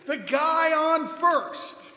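A man playing an excitable, childlike, whiny comic sidekick in an old-time radio comedy sketch. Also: very high voice, very slow, a little hiss or hum, exaggerated voice. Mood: exasperated. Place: stage in a church-like hall, live show. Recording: echoey hall.

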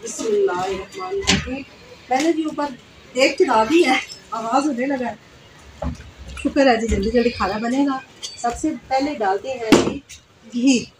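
A woman talks warmly as she cooks outside and explains each step. Her voice sits a little high. Noise from the open yard comes through the camera mic. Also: moderate pace. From Pakistan. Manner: chatty, peppers speech with "ji", step-by-step explaining. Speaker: vlogger cooking. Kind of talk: casual cooking vlog narration. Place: outdoor village rooftop courtyard. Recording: outdoor camera audio with noise.

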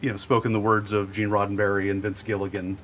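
A man hosting an interview in a sound booth, running a jokey quiz game with playful deadpan punchlines. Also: lowish voice, fast, a little hiss or hum. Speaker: host.

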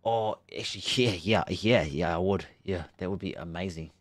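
Guy doing a chill kiwi butcher, rambly and sweary, lowish voice. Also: normal speed. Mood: easygoing. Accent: New Zealand. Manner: chatty, swears a lot, rambling. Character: laid-back Kiwi butcher man taken hostage. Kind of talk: roleplay in a video game.

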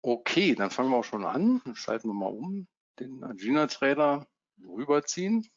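A man in his fifties from Berlin, giving a casual live webinar as a trading software coach, with many fillers. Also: medium pitch, big pitch swings, moderate pace, dull audio. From Germany (Berlin). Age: 50s. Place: home office.

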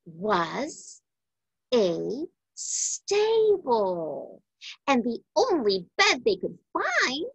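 Lady reading a kids bible story super slowly, really swoopy expressive voice, bit husky. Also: mid-range voice, no room sound, dull audio. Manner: slow, deliberate, emphatic phrasing for young children. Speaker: children's story reader. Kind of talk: read-aloud children's Bible storybook narration.

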